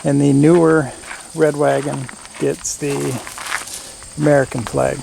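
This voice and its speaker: A man hosts a casual hands-on build video in a plain-spoken way. He talks slowly, as if working things out aloud, with a gravelly fry in his voice. Faint outdoor noise with chirping insects sits behind him. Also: fairly high pitch, flat delivery. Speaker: host of a workshop build channel. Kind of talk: casual hands-on teardown commentary.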